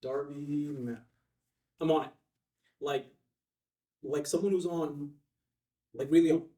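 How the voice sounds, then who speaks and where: An actor playing an eager, awkward young office assistant in a table read, hesitant and rambling. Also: male voice, a little high, steady tone, takes it slowly, dark tone. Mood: nervous, eager. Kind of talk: filmed screenplay table read. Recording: echoey room, distant mic.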